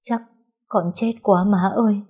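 A woman's voice: medium pitch, fairly even intonation, a little slow, a little mellow, breathy edge, voice pushed up. A woman voicing a resentful young married woman in an audio story, complaining in an aggrieved way. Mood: aggrieved, sulky.

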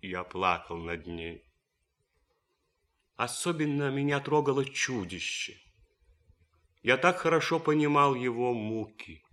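A cultured, romantic young German officer in a radio drama, an ardent idealist. His bright, forceful voice declaims slowly, lingering on words with deliberate pauses. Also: low voice, full character voice. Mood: earnest, moved. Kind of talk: radio play monologue.

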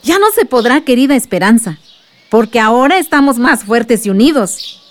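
An actress plays a kindly Mexican aunt in a radio drama. She reminisces gently in a fairly high voice, telling her tale as if to a child. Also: close to an everyday voice. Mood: earnest storytelling.

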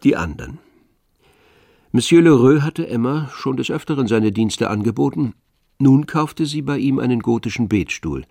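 A man narrating a radio play in a measured, grave, literary style. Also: on the low side, gravelly, darkish tone, no room sound, clean studio sound.

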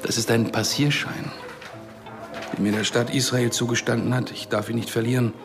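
Man acting stubborn proud palestinian guy in radio play, sardonic, medium pitch, music under him. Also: level tone, moderate pace, lightly acted. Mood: insistent. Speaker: radio drama actor. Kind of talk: German radio drama dialogue.

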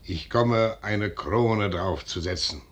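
An actor playing a stiff, dutiful Swedish colonel and envoy with a husky voice, speaking formal, measured classical German verse. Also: male voice, on the low side, fairly bright tone. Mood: formal, firm. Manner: formal, measured, reserved declamation. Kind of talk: classical German stage verse, radio drama acting.

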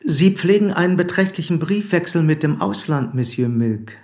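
An actor playing a probing, formal police commissioner in a German radio play, speaking deliberately and insistently. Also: male voice, fairly high pitch, lively intonation, a little mellow, close to an everyday voice.

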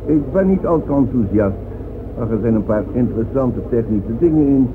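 An actor playing a conceited, dismissive conductor in a radio drama, speaking in a pompous, deliberate manner. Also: male voice, mid-range voice, animated, natural voice. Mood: haughty. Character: conceited, dismissive male conductor and music critic. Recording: noisy, phone-like old radio recording.

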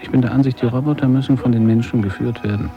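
A man acting a reflective adventurer who gives his private opinions in a measured radio drama monologue. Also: mid-range voice, fairly even intonation, close to an everyday voice. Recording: dull sound under clear music.

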